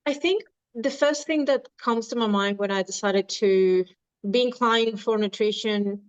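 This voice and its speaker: A woman in her thirties from Venezuela speaks in an unhurried, halting way, often restarting her sentences. Her voice is smooth and clear. It is recorded dry, with a slightly dull tone. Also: fairly high pitch, dry recording. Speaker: dietitian and nutrition coach. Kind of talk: podcast interview.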